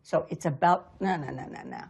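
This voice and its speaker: A woman's voice: sits low, brisk, gravelly, slight background noise. A woman coaching pronunciation, patiently drilling sounds and encouraging her student. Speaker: accent coach. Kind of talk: pronunciation lesson.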